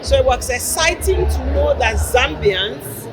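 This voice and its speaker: A woman from Ghana's Volta region, a guest speaking warmly and earnestly in an interview with many fillers. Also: sits high, lively intonation, measured pace. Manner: warm, earnest, with frequent fillers. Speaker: guest, kente weavers union director. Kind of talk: interview conversation. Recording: loud music and crowd behind.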